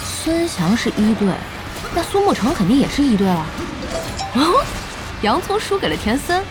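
A woman playing an excitable, impatient young e-sports fan in an audio drama, chatty and exclamatory. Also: high voice, normal speed, over music, low-quality recording. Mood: annoyed, then excited.

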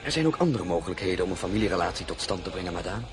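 An actor playing a deferential, earnest count and royal secretary in a radio drama, speaking with courtly formality. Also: male voice, low voice, animated, music in the background. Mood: insinuating, grave. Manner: courtly, formal, measured urgency. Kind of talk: dramatized novel, radio play acting.

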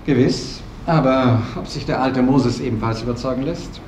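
A man's voice: mid-range voice, moderate pace, a little mellow, natural voice. Man as doubting formal prosecutor, mid voice, echoey, bit far off with faint noise, radio play. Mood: sceptical. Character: sceptical, formal male state prosecutor. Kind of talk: 1970s German science fiction radio drama acting.

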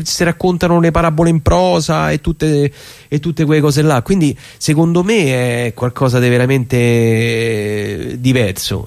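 A man's voice: fairly high pitch, gravelly, a little mellow. A man with a Roman accent playing an eager, persuasive younger theatre director in colloquial stage dialogue, often stumbling and repeating his words. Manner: halting, repeats words while explaining. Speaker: actor.

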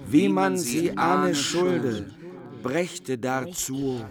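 A man performing medieval verse in a drawn-out, swooping recitation. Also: a little high, animated, a loud crowd.

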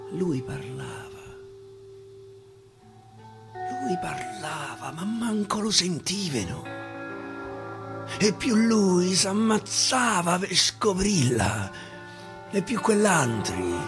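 A man with a Roman accent reciting a dialect poem theatrically on the radio, swinging from whispers to loud climaxes. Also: mid-range voice. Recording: radio broadcast with guitar underneath. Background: guitar music.

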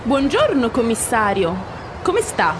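A woman's voice: on the high side, wildly swooping pitch, unhurried, noisy background. A woman voicing a shocked vacationing lady in a short scripted radio drama, drawn out and dramatic. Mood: startled.

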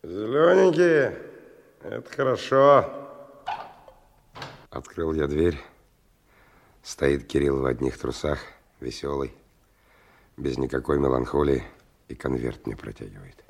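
An actor in a radio play voicing a hard-drinking, world-weary male stalker, brooding and coarse in his colloquial speech, with a husky low voice. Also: expressive, takes it slowly, pauses often, exaggerated voice. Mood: gruff. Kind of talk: radio play monologue and dialogue.

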